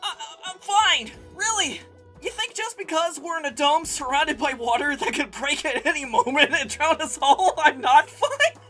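Panicky, sarcastic hedgehog hero for a cartoon dub, played by a woman: bright, very high, foul-mouthed. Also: normal speed, soft background music. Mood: nervous denial. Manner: excitable, stammering, swearing. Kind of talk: comedic cartoon fandub.

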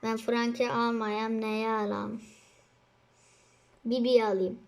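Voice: medium pitch, level tone, slow pace. A child chatting casually as a co-player during a video game. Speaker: gamer, co-player. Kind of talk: casual chat during gameplay.

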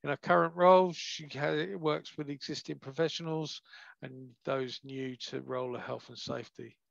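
A man's voice: on the high side, steady tone, normal speed, gravelly, fairly bright tone, dry recording. A man chairing an online branch meeting over a video call, reading out introductions of the speakers. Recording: dull, dry video call sound.

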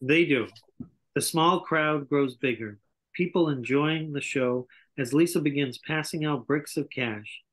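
A man's voice: a little high, a little slow, a bit bright, low-quality recording. A man in his sixties reading scripted stage directions as narrator at an online table read. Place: small home room, indoors, on a video call. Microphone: computer video call.

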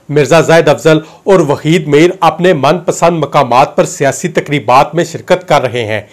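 A man in his thirties delivers political news with a Pakistani Urdu accent. His voice sits a little high, and his reading is formal and declamatory. He speaks into a handheld mic in a small studio. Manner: formal, declamatory, with gesturing hands. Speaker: news presenter. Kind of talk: scripted political news commentary. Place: studio in front of a dark backdrop. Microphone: handheld mic held at chest.

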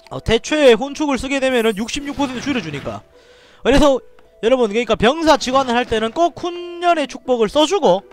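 A man from Daegu with a Daegu dialect accent, giving excitable live commentary on a strategy game, shouting orders and muttering to himself. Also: extremely high pitch. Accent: Gyeongsang (Daegu) dialect. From Daegu, South Korea. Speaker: game streamer.

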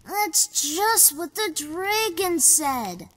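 A woman's voice: extremely high pitch, very animated, extremely slow, fairly bright tone, full character voice. A woman playing an earnest, outspoken young village boy in an audiobook drama, with chatty, old-fashioned phrasing. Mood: nettled, practical. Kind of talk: amateur audiobook drama acting. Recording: long hall reverb, faint music.

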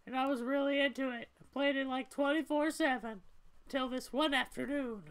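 A woman's voice: high-pitched, steady tone, fairly quick. A woman voicing a weary, troubled young female cat in a story video game, talking in a hesitant, conversational way. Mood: troubled, confessional. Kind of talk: amateur voiced playthrough of a story game.

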